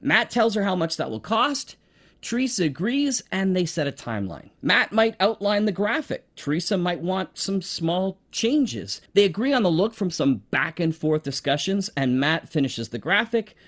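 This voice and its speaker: A man giving an enthusiastic, emphatic promotional pitch in a conversational explainer style. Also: very animated, moderate pace, a bit bright. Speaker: presenter promoting an online marketplace.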